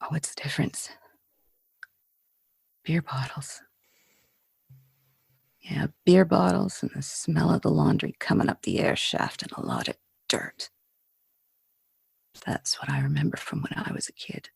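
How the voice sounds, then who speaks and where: Woman acting a husky, gravelly, jaded film star. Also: low-pitched, wildly swooping pitch, a little mellow, dry recording, in a whisper, exaggerated voice. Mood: bitter, nostalgic. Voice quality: husky, breathy. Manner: halting, brooding, slangy. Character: jaded female Hollywood film star, slum-raised, frightened, bitterly wistful. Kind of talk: 1940s radio drama acting.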